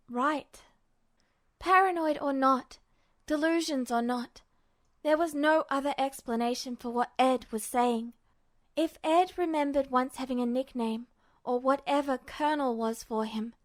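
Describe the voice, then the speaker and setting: A woman's voice: sits high, no room sound, clean studio sound. A woman narrating fan fiction as a scripted story reading.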